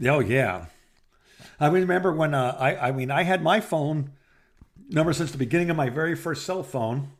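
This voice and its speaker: A middle-aged man chatting casually and telling personal anecdotes in a rambling, halting way, as a podcast co-host. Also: a little high, expressive.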